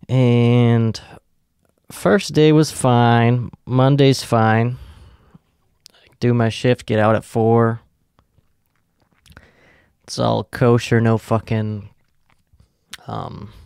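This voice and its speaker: Tired young male podcast co-host, slow gravelly delivery, crude and laid-back, close dry mic. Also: on the low side, darkish tone, dry recording. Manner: profane, laid-back, trailing off with fillers. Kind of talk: casual rambling monologue.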